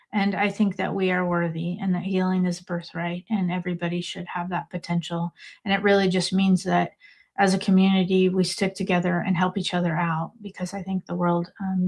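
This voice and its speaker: A woman in her fifties as a podcast guest, speaking in a measured, reflective way. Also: fairly low pitch, a little mellow. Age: 50s. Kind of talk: interview answers.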